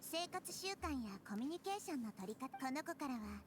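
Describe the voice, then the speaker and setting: A woman voicing a gentle, wise little girl deity in an anime game, calm and thoughtful as she explains things. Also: high-pitched, rapid-fire, noisy background. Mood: calm explaining. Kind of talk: anime video game acting. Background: music.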